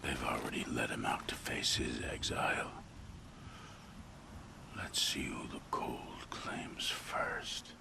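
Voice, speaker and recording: Very deep, gravelly, menacing killer. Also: male voice, steady tone, slow pace, whispered, heavily acted. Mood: bitter menace.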